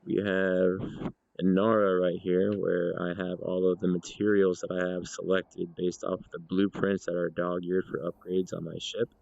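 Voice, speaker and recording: A man narrating an informal software demonstration in a measured, explanatory way. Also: low voice, monotone, gravelly, dry recording. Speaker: gaming video creator demonstrating software. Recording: dull lo-fi voice recording.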